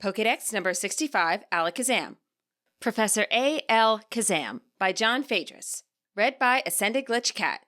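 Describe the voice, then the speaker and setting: A woman reading scripted fiction aloud as a podfic narrator, measured and clearly enunciated. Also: medium pitch, expressive, bright, forceful tone. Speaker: podfic reader.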